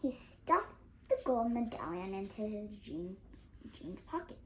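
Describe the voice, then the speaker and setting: An eight-year-old girl reading a storybook aloud, carefully and a little haltingly, with expression. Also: mid-range voice, sing-song, fairly bright tone. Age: child of 8. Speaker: young reader. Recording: noisy home recording.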